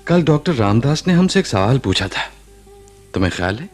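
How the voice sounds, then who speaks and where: Husky, expressive ailing romantic husband. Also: male voice, mid-range voice, brisk, soft background music. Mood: tender, wistful. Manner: hesitant, tender, with some English mixed in. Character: ailing husband Benny, romantic, guitar-loving hospital patient. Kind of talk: Hindi radio play acting.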